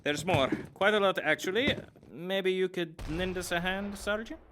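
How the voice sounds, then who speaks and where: Loyal soldier companion, audio drama: bold, casually respectful, faint noise behind. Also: male voice, high voice, moderate pace, fairly bright tone. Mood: easygoing, wry.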